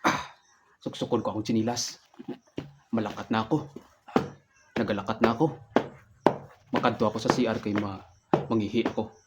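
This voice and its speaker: A man's voice: fairly low pitch, little pitch movement, normal speed, pauses often. A man performing an improvised comic radio drama in an exaggerated way, narrating his own actions aloud one by one. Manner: exaggerated, spells out each action. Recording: noisy amateur recording with clicks.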